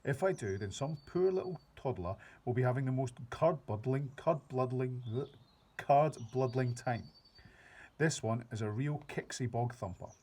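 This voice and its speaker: A man reading aloud, voicing an excitable big friendly old male giant who mangles his grammar and invents words. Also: medium pitch, normal speed, hardly any pauses, husky. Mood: distressed. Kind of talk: reading a children's book aloud.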